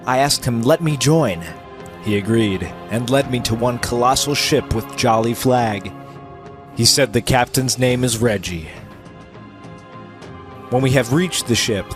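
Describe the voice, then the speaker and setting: A man narrating an amateur pirate story as a theatrical dramatic reading. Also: mid-range voice. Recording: music playing behind the voice. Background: music.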